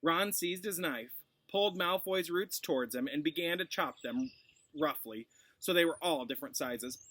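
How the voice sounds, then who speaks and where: A man, a teacher, reading a storybook aloud in an expressive narrating style. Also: a little high, moderate pace, dry recording.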